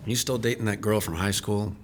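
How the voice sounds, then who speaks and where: A man playing a stern older senior army officer, measured and commanding, in an audio drama. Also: fairly low pitch, fairly even intonation, slight background noise, close to an everyday voice.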